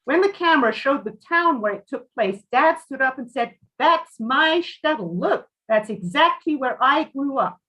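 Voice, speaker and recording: Woman reading as an indignant man, animated and insistent. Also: high voice, measured pace, few pauses, pitched above the performer's own voice, exaggerated voice, rough recording. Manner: scornful, insistent. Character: indignant man demanding his father's hometown be found. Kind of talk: dramatic reading of a short story.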